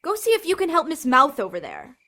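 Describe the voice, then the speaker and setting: A woman's voice: sits high, huge pitch swings, fairly quick, sharp tone, exaggerated voice. A woman playing a bossy, protective teenage big sister in an audio drama, snappy and intense. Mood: impatient.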